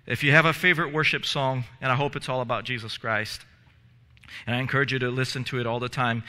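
A man's voice: medium pitch, steady tone, fast talker, sharp tone, in a large hall. A man in his thirties preaching a sermon in a church, earnest and gesturing, often restarting and repeating words. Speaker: pastor. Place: church sanctuary in front of stage steps. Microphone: headset mic.

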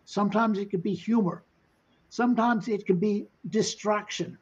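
A man's voice: high-pitched, moderate pace, breathy edge. An older man speaking as a panelist on a video call, folksy and skeptical, mixing anecdotes with asides. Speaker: panelist, company founder. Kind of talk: webinar panel talk. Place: home room. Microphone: webcam on video call. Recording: dull lo-fi video call audio.

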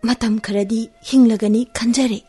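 A woman playing a weary, frail talking flower longing for water, plaintive and husky, in a radio play. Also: on the high side, steady tone, rapid-fire, warm tone. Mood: weary, wistful. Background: faint music.

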